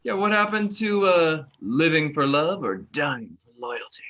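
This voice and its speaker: An actor playing a tired, opinionated young man in an online play reading, talking in a halting, wry, naturalistic way. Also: a little high. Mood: teasing, friendly. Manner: halting, animated, wry talk. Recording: dull, lo-fi online recording.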